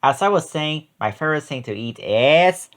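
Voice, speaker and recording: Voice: mid-range voice, expressive, normal speed, natural voice. A storybook reader voicing an always-hungry, mannerly chupacabra monster. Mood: hungry, polite. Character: chupacabra, always-hungry monster who likes goats' snacks.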